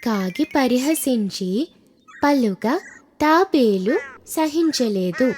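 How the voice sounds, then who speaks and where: A woman narrating a folk tale for a children's cartoon in a slow, singsong storytelling manner. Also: high voice, animated, a little mellow, faint music behind.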